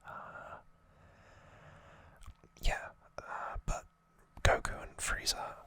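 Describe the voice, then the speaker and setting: A man's voice: very slow, pushed and bright, no echo. A man whispering as an ASMR host, giving an informal, rambling trivia recap and correcting himself as he goes.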